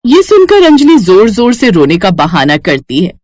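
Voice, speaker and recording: Animated woman storyteller, close dry sound. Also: mid-range voice, normal speed, no room sound. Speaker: story narrator. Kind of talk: scripted dramatic storytelling.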